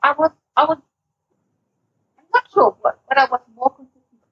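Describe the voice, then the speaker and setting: A woman's voice: sits high, big pitch swings, moderate pace, highs cut off. A woman co-hosting a podcast in casual chat, hesitant and searching for her words. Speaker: podcast co-host.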